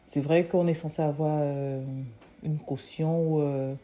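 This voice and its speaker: A young woman from Africa, a social work student, giving a hesitant personal testimony with many pauses and restarts. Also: low-pitched, steady tone, warm tone.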